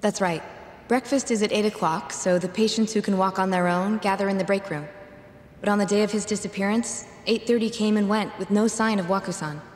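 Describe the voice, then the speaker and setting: A composed young woman in a game cutscene, played by an actress. She gives facts precisely at a medium pitch, with a long echoing reverb around her voice. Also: a little fast, natural voice. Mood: matter-of-fact. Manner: matter-of-fact, precise. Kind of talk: video game cutscene acting. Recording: echoey hall reverb.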